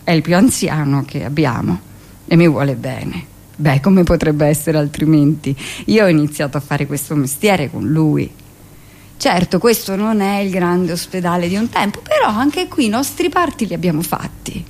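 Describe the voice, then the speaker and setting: An actress playing a brusque, weary middle-aged hospital midwife in a colloquial, scornful radio monologue. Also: female voice, fairly low pitch, animated, moderate pace, darkish tone. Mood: resigned, matter-of-fact. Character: brusque, weary 45-year-old hospital midwife, dismissive and self-justifying.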